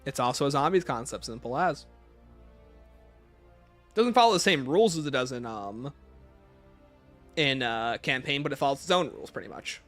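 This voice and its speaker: A man's voice: medium pitch, lively intonation, moderate pace, long pauses, fairly bright tone, soft background music. A man hosting a livestream, chatting casually as he takes viewer questions and answers them offhand. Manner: reads questions aloud, then answers offhand. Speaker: livestream host.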